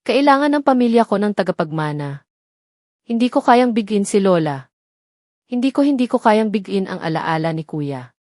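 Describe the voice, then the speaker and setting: Guilt-ridden, sorrowful husband in an audio story, read by a female narrator, halting, dry sound. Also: mid-range voice, big pitch swings, darkish tone, no echo, natural voice. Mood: grieving, apologetic. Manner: halting, grief-laden. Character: sorrowful husband, torn by guilt and family duty.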